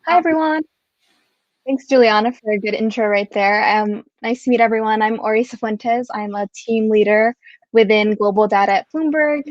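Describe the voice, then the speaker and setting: A woman's voice: on the high side, darkish tone, no echo, muffled recording. A woman team leader introducing herself and her work on a video call panel, friendly, with lots of fillers.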